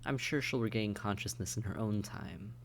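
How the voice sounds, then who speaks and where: A man playing a low, gravelly, courtly vampire. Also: moderate pace, slight background noise, close to an everyday voice. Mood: smooth, dismissive. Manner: polite, wry, articulate, teasing, with sighs and hushed asides. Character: suave, condescending, courtly male vampire. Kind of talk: intimate audio roleplay acting, addressed to the listener.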